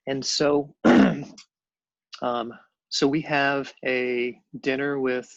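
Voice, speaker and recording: A man in his fifties telling his own story as a guest, halting with many pauses and fillers. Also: medium pitch, little pitch movement, a bit bright, no room sound, dull audio. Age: 50s. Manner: halting, many ums and restarts. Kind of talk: personal storytelling on a panel show.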